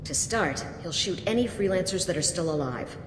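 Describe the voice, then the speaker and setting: Casting: a woman as a frank, fed-up merc lieutenant with a low voice, echoey game mix. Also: lively intonation, normal speed, background noise, natural voice. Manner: frank, resentful. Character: female mercenary lieutenant, bitter, weary. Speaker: mercenary officer.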